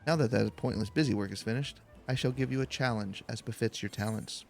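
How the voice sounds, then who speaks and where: A man's voice: on the low side, fairly quick, creaky, soft background music. A man voicing a haughty, condescending former mercenary captain who hands out errands in formal fantasy game dialogue. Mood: pompous.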